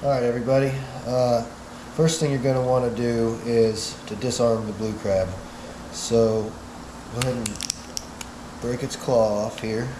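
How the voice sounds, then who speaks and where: A man's voice: mid-range voice, level tone, measured pace. A man in his thirties, a fishing channel host, giving a casual step-by-step demonstration with lots of "uh" fillers, recorded by a camera several feet away in a small bait room. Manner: easygoing, many "uh" fillers, step-by-step. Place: small indoor bait room, hard walls. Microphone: wide camera several feet away. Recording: roomy echo, clear background hum.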